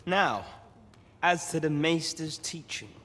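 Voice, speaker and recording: An actor playing a wily, self-assured master of thieves in a video game, husky and speaking in sly, archaic turns of phrase. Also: male voice, on the high side, animated, takes it slowly, noisy background. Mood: wry. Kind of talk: video game character dialogue. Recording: long reverberant hall echo.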